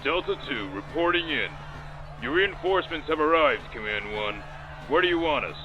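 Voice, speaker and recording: A man voicing a brisk, dutiful soldier reporting over the radio in an audiobook. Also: on the high side, lively intonation, over music, voice pushed up. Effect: radio filter. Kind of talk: audiobook character acting. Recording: muffled radio filter.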